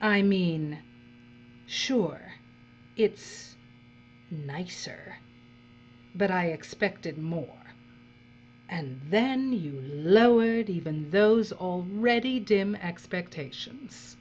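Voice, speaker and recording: An actress playing a coolly menacing, condescending female overseer, measured and dry, in a dark audio drama. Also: on the low side, expressive. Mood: withering condescension. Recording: dull audio with faint mains hum.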